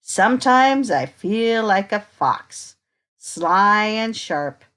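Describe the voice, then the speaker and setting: A woman reads a picture book aloud to children at a slow, measured pace. Her pitch moves up and down in a lively way, and she turns to her young listeners with inviting questions in the manner of a teacher. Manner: expressive, unhurried, asks inviting questions.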